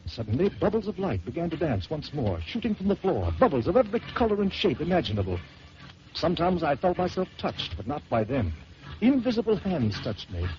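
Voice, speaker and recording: Man, radio horror narrator, lively dramatic delivery, fairly high voice, muffled old recording with hiss. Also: fairly quick. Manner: dramatic, tense first-person telling with swells and hushed drops.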